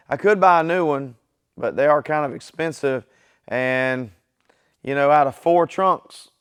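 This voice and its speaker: A man's voice: medium pitch, clean tone. A man hosting his own garage channel, talking casually to viewers in a plain, easygoing way, his voice weakened by illness. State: weak voice from illness. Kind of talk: casual workshop vlog talk. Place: home garage workshop.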